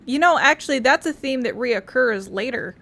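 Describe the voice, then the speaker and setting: A woman gives let's play commentary on a video game. Her voice is high and moves around animatedly in pitch. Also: lively intonation, moderate pace, a bit bright. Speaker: gamer commenting on a playthrough.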